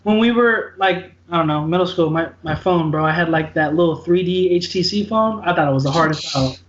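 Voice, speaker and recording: A young adult man chatting casually as a podcast co-host from a room at home, animated and joking. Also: a little high, slight background noise. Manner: animated, joking, talks in quick bursts. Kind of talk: casual chat. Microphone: video call webcam. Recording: dull lo-fi video call audio.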